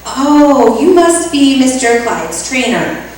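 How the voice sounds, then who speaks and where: A woman's voice: high-pitched, very animated, slow pace, darkish tone, full character voice. A woman playing a sweet, naive, scatterbrained young secretary in an old-time radio comedy, with an earnest delivery. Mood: innocent surprise. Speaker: radio play actor. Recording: very echoey hall, distant, heavy noise.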